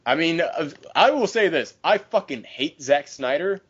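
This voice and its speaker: A man's voice: fairly high pitch, lively intonation, moderate pace, fairly bright tone, breathy edge, lo-fi sound. A man co-hosting a casual group podcast, talking in an emphatic, opinionated way with heavy swearing. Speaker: podcast co-host.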